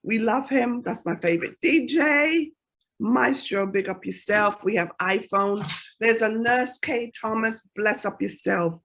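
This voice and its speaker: A woman radio host opening the show with blessings, warm greetings and shout-outs to listeners. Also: fairly high pitch, measured pace, creaky. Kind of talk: lively show introduction.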